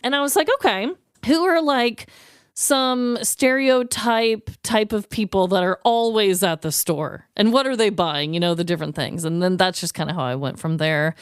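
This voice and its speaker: An American woman co-hosting a podcast, telling stories in a casual, comedic, giggly and expressive way. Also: medium pitch, moderate pace, smooth, no room sound, studio quality. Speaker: podcast co-host. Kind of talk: casual comedic storytelling and chat.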